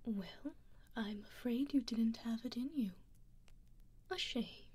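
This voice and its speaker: A woman's voice: on the high side, slow, slightly rough. A woman whispering as a sly British vampire lady. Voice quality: soft whisper, sly and mocking. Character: teasing aristocratic female vampire, castle owner. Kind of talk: ASMR roleplay. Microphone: binaural mic.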